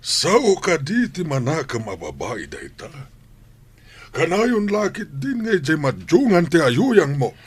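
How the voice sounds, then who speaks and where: A man's voice: a little high, huge pitch swings, measured pace, breathy edge, heavily acted. An actor with an Ilocano accent playing a stern but caring older grandfather in a radio drama. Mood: reproachful. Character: stern, caring grandfather scolding his daughter-in-law.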